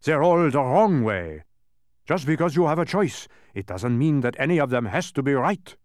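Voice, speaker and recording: A man voicing a fussy, pedantic, excitable dodecahedron creature in a storybook, with an emphatic, scolding manner. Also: mid-range voice, moderate pace, vocal fry, no echo, clean studio sound, voice pushed up. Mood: loud, emphatic. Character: fussy, exacting many-faced dodecahedron, male, excitable.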